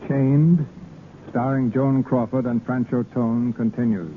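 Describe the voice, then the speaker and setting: A man's voice: medium pitch, fairly even intonation, a little slow. A man narrating a drama as host in scripted 1930s radio style. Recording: narrowband 1930s radio, loud music behind. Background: music.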